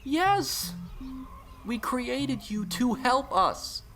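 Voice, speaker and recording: A man playing an earnest scientist in a science fiction audio story, halting and exasperated as he pleads. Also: extremely high pitch, very animated, crowd noise, full character voice. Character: earnest, frustrated scientist pleading with his creation. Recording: music behind the voice.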